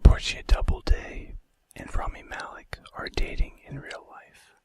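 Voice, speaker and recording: Male ASMR host, breathy whisper, hushed deliberate narration, soft casual asides. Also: no room sound.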